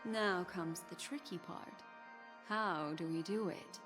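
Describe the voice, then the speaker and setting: A woman playing a poised, enigmatic keeper of memories in a video game, elegant and deliberate. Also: lowish voice, music in the background. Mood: composed, grave. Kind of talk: video game character acting.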